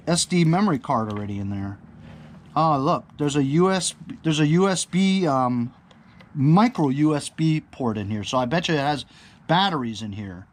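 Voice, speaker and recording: A man talks through a product review off the cuff, with ambient noise behind him. He rambles as he thinks aloud and often turns to the viewer with small questions. His pitch sits in an ordinary middle range for a man. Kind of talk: casual unscripted unboxing chat. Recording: clear background ambient noise.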